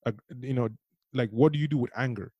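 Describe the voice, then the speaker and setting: A man's voice: mid-range voice, fast, mellow, dry recording, lo-fi sound. A young adult man from Cameroon, a writer musing thoughtfully as an interview guest, often restarting his sentences. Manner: thoughtful, rambling, many restarts. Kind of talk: reflective conversation.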